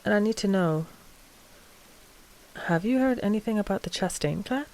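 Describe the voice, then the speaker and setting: A woman playing a polite, frazzled vampire coven leader in an audio roleplay, speaking formally with halting hesitations. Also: medium pitch, animated, a little mellow, close to an everyday voice. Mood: grave, uneasy. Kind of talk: scripted audio roleplay drama.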